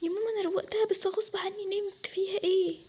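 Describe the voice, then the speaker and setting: A woman's voice: very high voice, steady tone, rapid-fire, warm tone. A woman playing a hurt, neglected young daughter with an Egyptian accent, whiny and plaintive, in an acted family story. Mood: pleading, defensive.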